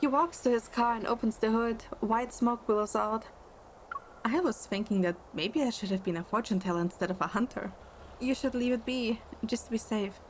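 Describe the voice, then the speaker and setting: Woman as high-voiced young hunter recruit. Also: normal speed, slight background noise, close to an everyday voice, rough recording. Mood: composed. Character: curious, earnest young female hunter recruit. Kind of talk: first-person game story narration.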